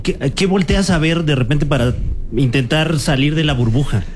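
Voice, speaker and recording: A woman's voice: deep voice, expressive, moderate pace, darkish tone. A woman radio host asking questions in a playful, chatty way, sometimes hesitating. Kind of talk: radio interview chat.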